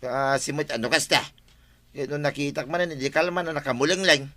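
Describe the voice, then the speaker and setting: An actor playing an excitable, jokey family man with no money in a Filipino comedy drama, with an Ilocano accent. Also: on the high side, brisk. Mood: curious, teasing. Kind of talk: broadcast comedy drama acting. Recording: music behind the voice.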